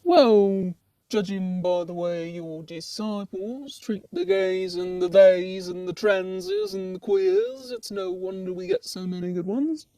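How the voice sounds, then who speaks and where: A woman's voice: fairly high pitch, big pitch swings, slow, gravelly, mellow, dry recording, exaggerated voice. A woman playing a laid-back, chatty ordinary demon in a comic puppet show, with a casual, rambling, teasing way of talking. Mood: wry, rambling.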